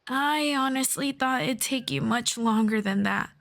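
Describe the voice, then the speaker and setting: A woman playing a gentle, earnest elf friend in a soft-spoken, measured ASMR fantasy roleplay. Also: a little high. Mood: gentle, reflective. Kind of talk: slow scripted ASMR roleplay.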